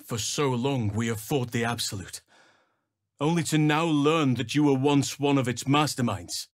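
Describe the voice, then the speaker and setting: An actor playing a big, earnest druid healer in a video game, solemn and gentle, with measured, formal, old-fashioned phrasing. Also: male voice, mid-range voice, dry recording, clean studio sound, lightly acted. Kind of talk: video game character voice acting.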